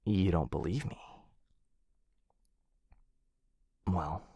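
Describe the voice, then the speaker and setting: A man voicing a shy, affectionate dog-person boyfriend in an ASMR roleplay, speaking softly and hesitantly, often close to a whisper, right up on the microphone. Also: sits low, moderate pace, no echo, dull audio. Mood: happy, giddy. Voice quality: soft, breathy, often dropping into whisper. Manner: hesitant, tender, intimate. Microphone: close microphone in a dry space.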